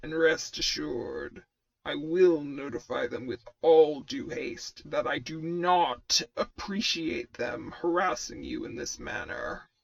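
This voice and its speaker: A woman performs a stern armored Sith lord, low and heavily gravelled. He speaks slowly and formally through a mechanical filter, with clipped sarcasm and menace. The sound is very dry and close. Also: no echo, exaggerated voice. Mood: cold anger. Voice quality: gravelly growl through a vocoder.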